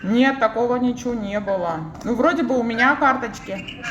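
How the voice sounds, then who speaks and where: A woman's voice: a little high, lively intonation, normal speed. A woman in a casual phone conversation, speaking colloquially with a hesitant, questioning manner. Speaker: bank customer receiving a suspicious call. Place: telephone call. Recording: echoey, noisy recorded telephone call.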